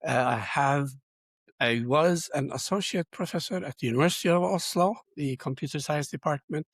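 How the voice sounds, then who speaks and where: A man's voice: fairly high pitch, moderate pace, breathy edge, no room sound, clean studio sound. An older man from Turkey, explaining things conversationally and with digressions as an interview guest. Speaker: guest, computer science educator. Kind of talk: interview self-introduction, conversational.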